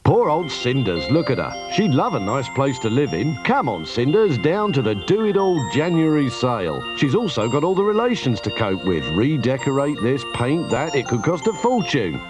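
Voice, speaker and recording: Man, advert voice-over, playful, lively pitch, music underneath. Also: normal speed, few pauses. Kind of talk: scripted advert narration. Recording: loud music bed under voice.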